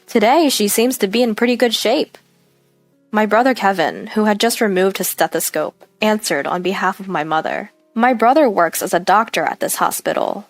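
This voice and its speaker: A woman's voice: mid-range voice, no echo. A woman reading a scripted first-person story as its narrator.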